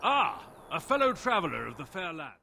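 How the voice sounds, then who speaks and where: A smug swindler from a fantasy video game. He speaks in florid, theatrical mock courtesy, with a higher, very animated and creaky voice over faint noise. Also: a little fast, heavily acted. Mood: mock-courteous. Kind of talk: video game character acting.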